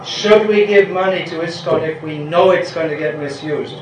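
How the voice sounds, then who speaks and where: A man's voice: fairly high pitch, normal speed. Rambling older man in an echoey room. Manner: rambling, self-interrupting, chuckles at times. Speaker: spiritual teacher answering questions. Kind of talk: informal question-and-answer talk. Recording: echoey room with faint background noise.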